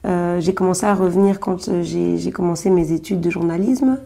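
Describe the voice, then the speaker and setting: A middle-aged woman with a lowish voice and a dark, mellow tone answers interview questions. She talks hesitantly, filling pauses and restarting sentences. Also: fairly even intonation, gravelly. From Belgium, Brussels-born Congolese. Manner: hesitant, many fillers and restarts. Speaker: guest, journalist and writer.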